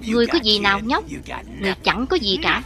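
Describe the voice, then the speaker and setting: A woman's voice: medium pitch, very animated, fast, a crowd in the background, lightly acted. Woman voicing a gloating, hungry hyena boss. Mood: mocking menace. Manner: taunting, menacing. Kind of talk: cartoon voice-over dubbing. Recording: clear film music and original soundtrack underneath.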